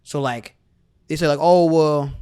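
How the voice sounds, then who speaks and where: A young man with a high voice talks in a loose, joking podcast chat, swearing freely and tossing in quick asides. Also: fairly even intonation, warm tone, clean tone. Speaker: podcast co-host. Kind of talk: casual chat.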